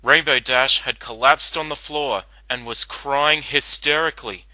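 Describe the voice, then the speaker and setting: A man's voice: medium pitch, a little slow, pushed and bright, dry recording, low-quality recording. A man narrating a story as a scripted dramatic reading.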